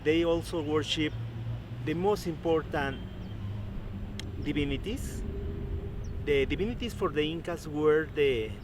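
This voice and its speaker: A man from Peru with a Peruvian accent walks visitors through an ancient site and explains each part carefully. His voice sits a little high. Street and traffic noise runs steadily behind him. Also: a little slow, long pauses. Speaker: tour guide. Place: outdoors at a historic site.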